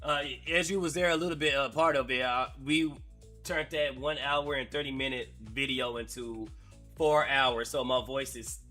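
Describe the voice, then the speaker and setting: A hoarse man hosting a casual live-stream chat, telling stories in an excitable, slangy way. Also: mid-range voice, normal speed, soft background music. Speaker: streamer host.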